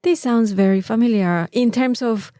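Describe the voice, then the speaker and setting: A woman's voice: on the high side, normal speed, warm tone, no echo, clean studio sound. A woman with a Mexican accent, co-hosting a casual podcast chat in an informal, rambling, sweary way. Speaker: podcast co-host.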